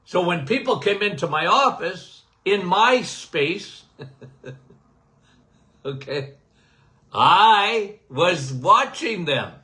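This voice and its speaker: An older man, a doctor hosting a podcast, giving a casual and emphatic health talk, punctuated by "okay?" and self-described as loud-voiced. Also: sits high, drawn-out words, long pauses. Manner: animated, emphatic, repeats "okay?"; says he has a loud voice.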